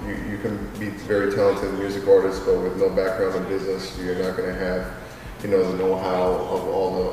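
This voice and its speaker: A man's voice: deep voice, a little mellow, slight background noise. A man in his thirties, a music artist and label owner, answering interview questions in a rambling, casual way with many fillers. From Queens, New York; raised in Los Angeles. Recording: distant mic in echoey reverberant space with crowd.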